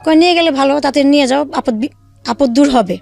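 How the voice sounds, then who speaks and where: A woman telling her own life story in a halting way, with many fillers and restarts. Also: high-pitched, gravelly, a little mellow, faint music behind. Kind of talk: emotional personal storytelling.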